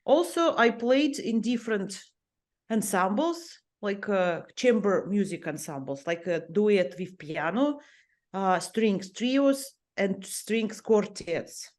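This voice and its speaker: A Russian-born woman, a violinist and music teacher, speaking English as a second language with a Russian accent, pausing often as she searches for words. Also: mid-range voice, animated, darkish tone. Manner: hesitant, searching for English words, many pauses. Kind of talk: personal interview talk.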